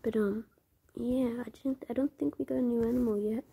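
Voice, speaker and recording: A woman with a croaky voice, chatting in half-whispers while commenting on a mobile game she plays. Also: a little high, fairly even intonation, brisk, mellow. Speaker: gameplay commentator.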